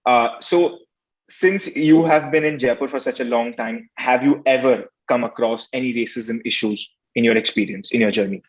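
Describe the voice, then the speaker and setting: A man's voice: medium pitch, moderate pace. A young man from India asking interview questions politely and formally, with many fillers. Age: teens. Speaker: student interviewer. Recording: dull lo-fi sound.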